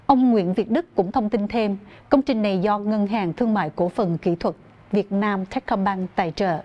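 A young woman presents the news in a formal, scripted style. Her pitch is middling for a woman. The studio recording sounds a little dull. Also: moderate pace. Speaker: news anchor.

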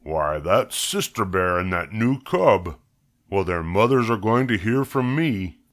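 A man's voice: lowish voice, very animated, measured pace. A man voicing a grumbling, stern farmer bear in a children's storybook. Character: Farmer Ben, grumbling adult male bear farmer.

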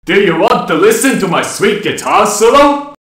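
A man's voice: sits high, lively intonation, moderate pace, a bit bright. A man voicing a manic, menacing animatronic rocker in a theatrical, taunting way for a horror game. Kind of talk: horror game character voice lines. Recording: roomy echo.

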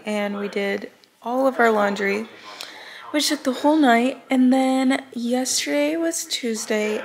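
Creaky-voiced young American woman vlogging. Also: on the high side. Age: 20s. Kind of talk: casual vlog chat to camera. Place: indoors at home, living room and kitchen.

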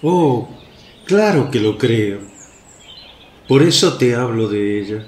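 A man's voice: mid-range voice, animated, slow pace, darkish tone. An actor playing a humble old father in a radio play, reverent and emotional. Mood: reverent, grateful. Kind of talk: Spanish-language radio play acting. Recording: roomy echo, faint birdsong noise.

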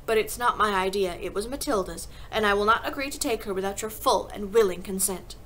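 A woman voicing a kind, calm schoolteacher in a storybook, speaking in a gentle, measured and frank way. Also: mid-range voice, lightly acted, poor audio. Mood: gentle, tender.

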